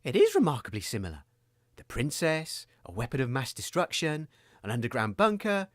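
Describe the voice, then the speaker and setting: Chirpy, gullible co-host; very animated pitch, dry studio read. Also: male voice, normal speed, no room sound. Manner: chirpy, naive, excitable. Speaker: radio comedy co-host. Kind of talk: scripted comedy sketch.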